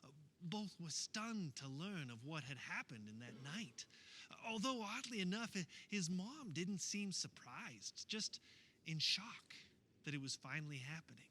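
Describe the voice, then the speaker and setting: An actor playing an anguished male eyewitness disciple of Jesus in a radio theater monologue, telling his story in an emotional, halting way. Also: on the high side, expressive, pushed and bright, exaggerated voice. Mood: troubled, recounting. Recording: faint background noise.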